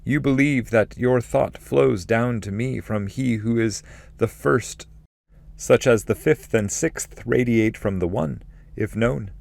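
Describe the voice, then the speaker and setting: A man voicing a solemn, loving ancestral spirit in heaven, declaiming slowly and with joy in an epic poem reading. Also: mid-range voice, vocal fry, darkish tone, no echo, pitched above the performer's own voice. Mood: loving, joyful. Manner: slow, exalted declaiming. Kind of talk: dramatic reading of epic poetry.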